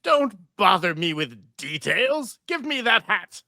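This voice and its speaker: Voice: medium pitch, very animated, slow, bright, forceful tone, no room sound, studio quality, full character voice. A performer voicing a scheming, nasty old male magician in a hammy, taunting cartoon table read. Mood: demanding.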